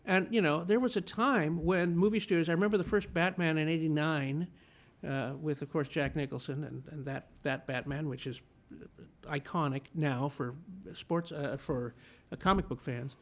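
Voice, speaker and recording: A man's voice: on the high side, steady tone, normal speed. A man in his fifties, born in Chile, telling stories about movies as a guest in a casual interview. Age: 50s. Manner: enthusiastic storyteller, repeats words when starting. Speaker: film critic, guest.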